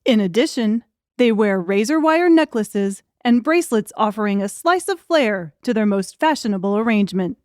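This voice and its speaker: A woman's voice: mid-range voice, animated, normal speed, a little mellow, no echo, clean studio sound. A woman reading scripted audiobook narration as the storyteller.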